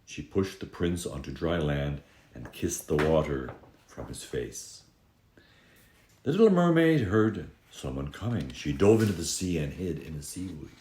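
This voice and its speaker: A man reading a fairy tale aloud as a dramatic storyteller, expressive, with drawn-out emphasis on key moments. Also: very deep voice, slight background noise. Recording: echoey room.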